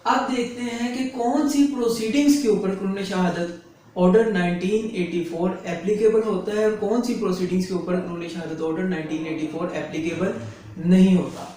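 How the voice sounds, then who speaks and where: A man's voice: high voice, steady tone, moderate pace, dark tone. A man with a Pakistani accent giving an instructional lecture in a didactic manner, repeating key phrases for clarity. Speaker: lecturer and advocate explaining law. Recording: very echoey room, distant mic, faint noise.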